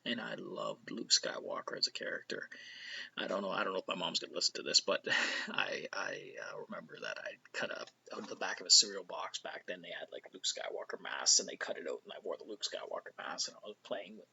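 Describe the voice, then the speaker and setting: A man's voice: sits low, brisk, vocal fry, pushed and bright, lo-fi sound, a little hiss or hum. A middle-aged Canadian man with a Canadian accent, rambling casually as host of his own vlog, often sliding into a near whisper. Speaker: vlogger host.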